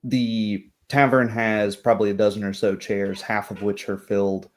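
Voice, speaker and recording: Guy running a tabletop game, lowish voice, halting off-the-cuff narration. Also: little pitch movement. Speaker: game master. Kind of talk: improvised tabletop roleplay narration.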